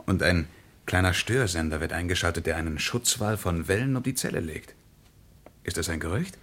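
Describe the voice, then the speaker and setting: An actor playing an inquisitive visitor questioning a diplomat in a radio play. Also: male voice, low-pitched, normal speed, a bit bright.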